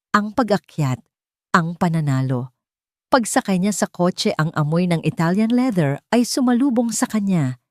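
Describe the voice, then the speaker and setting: Filipina narrator reading drama story, slightly low voice, deliberate short beats, no room echo. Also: female voice, a little mellow, clean studio sound. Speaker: audiobook narrator.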